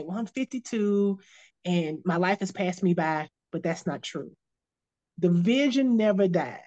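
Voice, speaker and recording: A woman's voice: fairly low pitch, dark tone. A woman in her fifties, a life coach, talking earnestly and encouragingly, often repeating phrases for emphasis. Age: 50s. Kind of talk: casual podcast chat.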